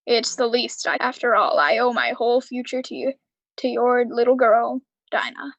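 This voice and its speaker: A woman's voice: a little high, normal speed, dry recording, dull audio. A woman acting a smitten, earnest older man courting in a radio drama.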